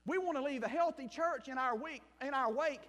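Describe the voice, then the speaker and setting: A man from South Mississippi with a Southern accent, preaching a sermon and telling his own story as a missionary pastor, shifting between loud bursts and hushed asides. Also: extremely high pitch, brisk. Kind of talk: sermon with personal testimony. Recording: long hall reverb.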